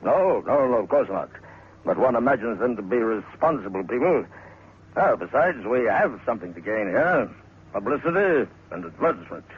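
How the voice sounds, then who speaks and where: A man's voice: mid-range voice, big pitch swings, natural voice. Man playing a formal manager on tinny radio. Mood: shrewd, businesslike. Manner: measured, formal, hesitant asides. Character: cautious, businesslike male manager of a wax museum. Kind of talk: old radio drama acting. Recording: tinny, noisy old radio recording.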